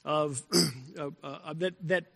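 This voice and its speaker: A male pastor preaching a sermon in a deliberate, emphatic way, repeating key phrases and clearing his throat now and then. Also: fairly high pitch, fairly bright tone. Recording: long echo from a large hall.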